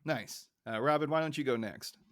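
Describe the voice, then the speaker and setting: A man's voice: on the high side, moderate pace, dry recording. Casual male podcast host, close dry sound.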